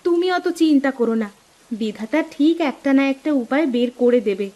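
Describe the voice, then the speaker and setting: Village wife for a cartoon played by a woman: high-pitched, persuasive, insistent, hopeful. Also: animated, moderate pace, mellow. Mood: coaxing. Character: practical, optimistic village housewife urging her husband. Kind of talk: dramatised cartoon dialogue.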